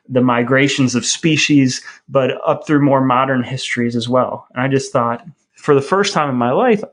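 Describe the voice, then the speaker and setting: A man hosting a podcast in a casual, conversational style. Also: mid-range voice. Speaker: podcast host.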